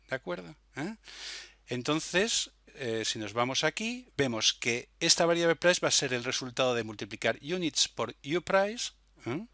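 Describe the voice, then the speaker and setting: A man presenting an unscripted software tutorial step by step, hesitant and often checking that listeners follow, close on the microphone. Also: mid-range voice, no echo. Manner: hesitant, frequent verbal tags seeking confirmation.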